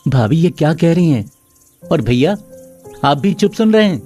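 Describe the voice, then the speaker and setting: A man's voice: a little high, sing-song, normal speed, dark tone, soft background music. A man voicing an earnest, protective young husband in a moral-story cartoon. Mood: indignant.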